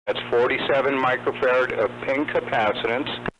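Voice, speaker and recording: A man's voice: mid-range voice, steady tone, normal speed, fairly bright tone, dull audio, slight background noise. A man narrating an instructional demonstration as a radio repair technician.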